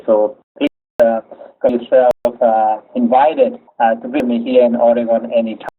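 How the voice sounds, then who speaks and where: A man from Chile giving a webinar lecture, speaking haltingly with many "uh" fillers. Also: mid-range voice, flat delivery. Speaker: engineering lecturer. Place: home office. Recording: tinny phone-like audio.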